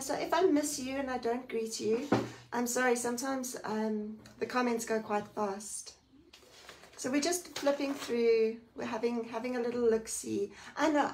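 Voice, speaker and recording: A woman hosting a live Bible journaling stream, chatty and friendly, greeting viewers by name, a little croaky today. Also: fairly high pitch. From South Africa. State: slightly croaky. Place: indoors at a craft table. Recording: distant mic, faint room noise.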